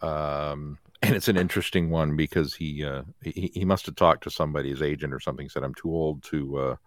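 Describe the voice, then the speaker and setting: A man co-hosting a podcast, chatting casually about films in a halting, wry manner. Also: rumbling low, fairly even intonation. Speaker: podcast host. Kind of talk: casual chat, joking film talk.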